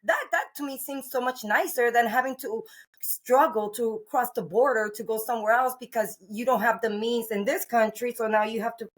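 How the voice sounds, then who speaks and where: A woman's voice: fairly high pitch, moderate pace. A middle-aged woman from Cuba hosting a podcast, talking in an earnest, rambling way with many restarts and fillers. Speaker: podcast host. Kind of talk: casual interview chat.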